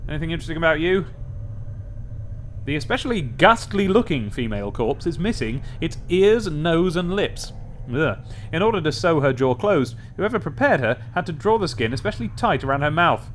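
A man's voice: a little high, sing-song, normal speed, a little hiss or hum. A man giving chatty game commentary, thinking aloud and reading in-game text out. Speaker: gaming video host. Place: voice-over on game capture.

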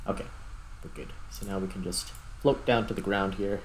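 A man with a fairly low voice hosts a gaming video in a casual style. He thinks aloud without hurry as he plays. There is clear steady noise in the recording. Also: moderate pace, rough recording. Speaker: host, gamer. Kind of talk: casual gameplay commentary.

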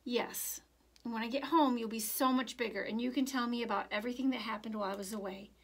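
A woman voicing a gentle, reassuring father who soothes and explains in a read-aloud storybook. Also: fairly high pitch, steady tone, fast talker. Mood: tender. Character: gentle, reassuring young father, a soldier leaving home.

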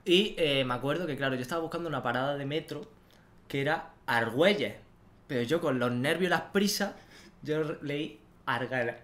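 Un hombre joven de Almería con acento andaluz, copresentador de un pódcast, cuenta anécdotas de forma animada, riendo e imitando voces, en un estudio pequeño con micrófono de mesa. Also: male voice, a little high, fairly quick. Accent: Andalusian Spanish. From Almería, Spain. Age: young adult. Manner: animated, laughing often, acting out quotes. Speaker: podcast co-host. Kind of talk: casual storytelling chat. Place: small studio room at a desk. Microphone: desk microphone on stand.

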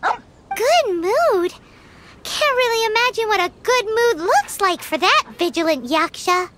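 A woman voicing a tiny, chatty, excitable childlike fairy companion in a video game. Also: unhurried, noisy background, exaggerated voice. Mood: curious, teasing. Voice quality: squeaky, childlike. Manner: exclamatory, refers to herself in third person. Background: game music.

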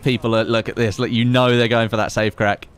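A man's voice: medium pitch, little pitch movement, a little fast, clear, resonant tone. This is a man in his late twenties, streaming a game and chatting as he plays. His delivery is casual and rambling, and he breaks off to read out chat messages and make wry, sarcastic comments. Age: late 20s. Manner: chatty, reads chat aloud, sarcastic asides.

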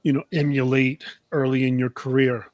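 A middle-aged man hosting a casual interview over a video call, circling toward his questions in halting, roundabout phrases. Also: medium pitch, level tone, a little mellow, no room sound. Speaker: interview host. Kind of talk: casual chat. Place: indoor room, video call.

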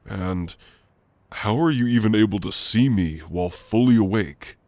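A man playing a gentle, teasing sleep paralysis shadow demon in an intimate ASMR roleplay, speaking in a soft, halting, reassuring way. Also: lowish voice, dry recording, close to an everyday voice. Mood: curious. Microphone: close, dry microphone.

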